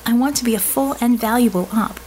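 A woman voicing an earnest, quick-witted young female hacker-mage in an audiobook, explaining things assertively with dry wit. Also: a little high, darkish tone, a little hiss or hum, pitched above the performer's own voice, lightly acted. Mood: earnest, determined. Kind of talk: audiobook character dialogue.

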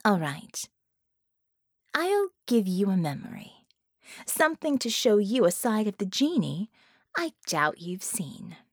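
A woman's voice: mid-range voice, very animated, slow, pauses often, no echo, full character voice. A woman voicing a cool, dry-witted, manipulative captive woman in an audiobook, speaking with control.

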